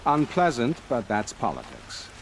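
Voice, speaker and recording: An actor playing a shrewd, courteous royal court advisor in a game, formal and polite with a wry edge. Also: male voice, mid-range voice, wildly swooping pitch, a little slow, vocal fry, slight background noise, full character voice, poor audio. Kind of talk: dramatic game cutscene acting.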